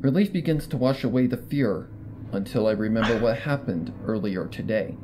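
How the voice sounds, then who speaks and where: A man's voice: fairly low pitch, normal speed, background noise. A man reading a scripted horror story as a dramatic first-person narrator. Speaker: fan fiction narrator.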